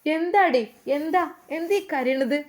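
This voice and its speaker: A woman's voice: sits high, slow. A woman voicing a fretful, pious older mother, full of exclamations. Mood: alarmed.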